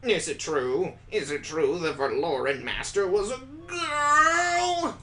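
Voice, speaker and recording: A man voicing an excitable young schoolgirl in a visual novel, full of eager questions. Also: sits high, slow, faint music behind, voice pushed up, full character voice. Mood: eager, curious.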